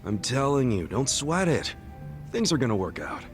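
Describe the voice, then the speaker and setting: An actor playing an easygoing, level-headed buddy in a video game cutscene, speaking casually and earnestly. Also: male voice, mid-range voice, very animated, moderate pace, music in the background, natural voice. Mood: reassuring. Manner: casual, incredulous, reassuring.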